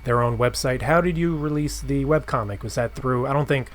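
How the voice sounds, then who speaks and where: A man's voice: medium pitch, a little fast, slight background noise. A man hosting a casual podcast interview, feeling his way through questions and rephrasing as he goes.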